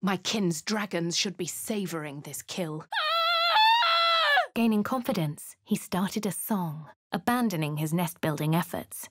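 An actress with a posh English accent voicing a plucky female adventurer hero for a video game, crisp and well-spoken, close to a studio mic. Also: mid-range voice, a bit bright, dry recording, exaggerated voice. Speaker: player character.

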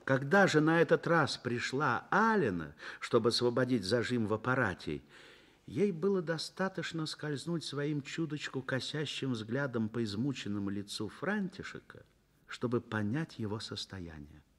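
A man narrating a Soviet radio drama as an earnest literary storyteller, expressive and measured, swelling and dropping to a hush. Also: medium pitch. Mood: earnest, reflective.

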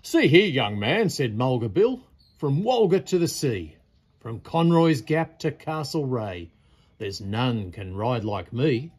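A man plays a boastful bushman from a storybook poem, full of pompous swagger. His pitch swings widely as he brags, and the voice has a slightly rough, husky edge. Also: lively intonation, slow pace, a little mellow. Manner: pompous bragging. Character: boastful, cocky bushman bragging of his riding.

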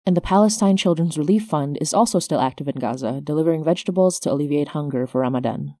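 A woman's voice: low-pitched, quick pace, warm tone, dry recording. A woman hosting her podcast, reading scripted announcements as its creator.

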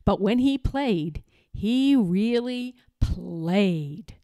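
A woman reading a children's storybook aloud as a storyteller, expressively and with deliberate, dramatic pauses. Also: medium pitch, expressive, mellow, no echo, clean studio sound.

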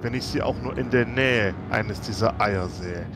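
A man's voice: fairly low pitch, normal speed. A man talking casually as a player in a tabletop role-playing game. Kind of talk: casual in-character game chat. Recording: music bed under voice.

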